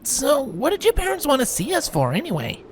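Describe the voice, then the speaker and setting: Casting: woman playing small girl pony, high-pitched, cheeky and dry, lively intonation, cartoon story. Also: a little fast, soft background music. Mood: curious. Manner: deadpan, dismissive, cheeky. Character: cheeky young pegasus filly, girlish little-sister type. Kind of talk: animated cartoon-style story dialogue.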